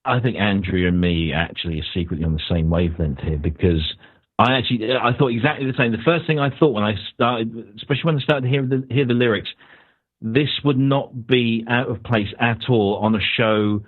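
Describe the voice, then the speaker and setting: A low-voiced man from the UK talks through his review of a song over a tinny, phone-like line. He rambles and hedges, with plenty of fillers and restarts. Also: brisk. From United Kingdom. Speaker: guest panelist. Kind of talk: casual music review on a podcast.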